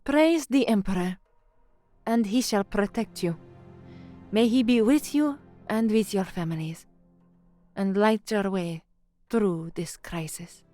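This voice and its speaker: A woman's voice: mid-range voice, takes it slowly, long pauses, mellow, faint music behind, natural voice. A woman playing an earnest, uneasy young novice newsreader in a formal, introspective audio drama. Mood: solemn, official.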